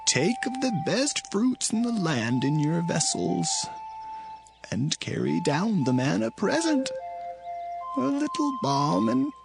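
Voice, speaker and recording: Creaky, grieving old patriarch. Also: male voice, high-pitched, sing-song, music in the background, exaggerated voice. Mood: resigned sorrow. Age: older. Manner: slow, drawn-out, mournful delivery. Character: grieving old father, biblical patriarch of many sons. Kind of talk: dramatized Bible audio acting.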